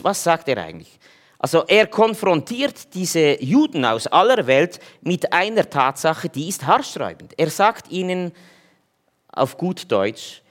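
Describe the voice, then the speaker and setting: A man's voice: a little high, a bit bright. A man giving an informal sermon in a conversational way, hesitating often with fillers. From German-speaking region. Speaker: preacher.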